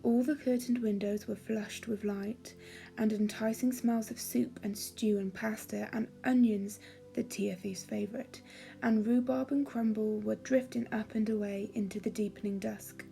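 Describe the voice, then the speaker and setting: A woman storyteller giving a calming, expressive, measured reading of a children's fairy story. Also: mid-range voice, gravelly, faint music behind.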